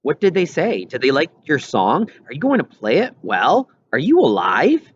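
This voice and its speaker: A man's voice: a little high, wildly swooping pitch, moderate pace, a little hiss or hum, pitched above the performer's own voice. A man voicing a loyal, eager young boy who is questioning and sympathetic in an audiobook. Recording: dull, lo-fi recording.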